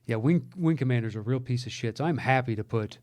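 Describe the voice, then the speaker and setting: A man's voice: medium pitch, fast, darkish tone, no room sound, clean studio sound. A man co-hosting a podcast, chatting casually in a rambling, sweary way. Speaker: podcast co-host.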